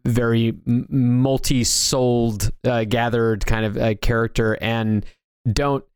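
A man's voice: mid-range voice, steady tone, slow pace, a little mellow, clear, resonant tone, no room sound, clean studio sound. A man hosting a casual pop-culture discussion, talking in a loose, rambling way and often repeating himself. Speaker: podcast host. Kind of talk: casual discussion of video game movies.

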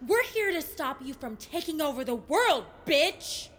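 A woman playing a brash, foul-mouthed teenage girl monster fighter in an audio drama, excitable and indignant. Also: high voice, unhurried, slight background noise, exaggerated voice. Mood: defiant. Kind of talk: audio fiction acting.

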